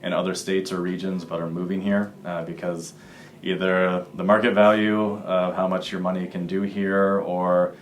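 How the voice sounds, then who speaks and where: A man reasons aloud about his city in an easy, conversational way. His voice is very deep and stays level, with faint noise in the background. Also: flat delivery, normal speed.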